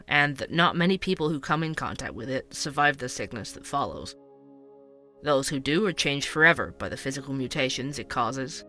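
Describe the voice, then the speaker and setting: A man's voice: fairly high pitch, sharp tone. An actor playing a curious, chatty male podcast host, conversational and wry in an explanatory way, in a scripted audio drama. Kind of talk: scripted fiction podcast narration and interviews.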